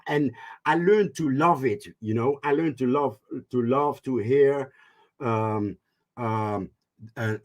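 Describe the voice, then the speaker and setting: A man with a Dutch accent talking in a casual panel discussion, halting with many restarts. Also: mid-range voice, a bit bright. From Netherlands. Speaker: panel guest.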